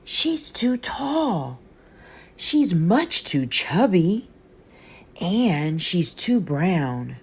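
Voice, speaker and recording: A woman voicing a disapproving child gossiping in a hushed manner in a storybook. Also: lowish voice, very animated, drawn-out words, husky, heavily acted. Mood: snide. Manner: hushed, judging. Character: whispering, disapproving child at an audition.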